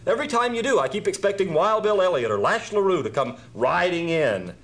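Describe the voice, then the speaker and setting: Animated, exasperated theme park boss. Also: male voice, high voice, fairly quick. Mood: pleading frustration. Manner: exasperated, sarcastic, emphatic. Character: harried, sarcastic male theme park manager. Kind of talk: audio drama acting.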